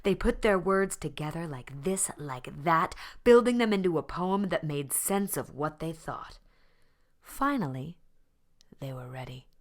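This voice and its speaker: A woman narrates a children's storybook in a low voice. She reads slowly and deliberately, leaving dramatic pauses, and her pitch rises and falls expressively. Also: animated. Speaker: storybook reader.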